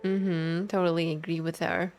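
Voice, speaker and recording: A woman's voice: lowish voice, level tone, moderate pace, a little mellow, faint music behind. A young woman streamer reacting casually to a game, thinking aloud and talking back to the characters.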